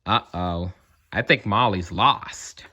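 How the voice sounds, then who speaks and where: Man doing a bright, eager cartoon dog. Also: on the low side, slow, breathy edge, heavily acted. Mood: worried. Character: loyal, eager male cartoon dog with yelping bark.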